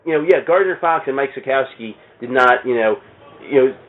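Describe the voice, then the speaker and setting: A man's voice: mid-range voice, fairly quick, narrow, tinny audio. A man talking in a casual, riffing podcast chat, acting out imagined thoughts as he goes. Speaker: podcast guest. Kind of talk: casual comic-book chat.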